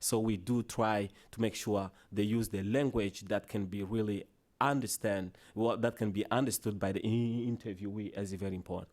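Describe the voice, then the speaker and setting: A man whose first language is Swahili gives a conference presentation with slides, in a halting way full of restarts and "you know" fillers. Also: on the low side, fairly even intonation, darkish tone. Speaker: conference panelist. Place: conference hall.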